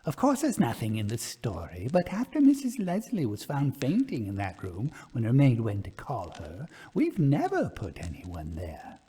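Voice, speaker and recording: A man's voice: mid-range voice, wildly swooping pitch, normal speed, few pauses, dark tone, husky, heavily acted. A man voicing a polite, coaxing hostess of a country house in a ghost story. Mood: apologetic.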